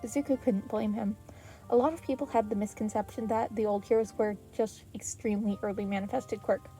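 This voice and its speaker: A woman's voice: medium pitch, fairly even intonation, brisk, dark tone, soft background music. A woman narrating a story as an amateur audiobook reader.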